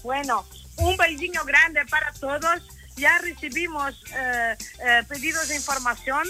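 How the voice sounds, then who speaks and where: Bright, high-voiced effusive Portuguese woman. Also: unhurried, soft background music. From Lisbon, Portugal. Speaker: mattress brand spokesperson promoting a giveaway. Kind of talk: live radio promotion.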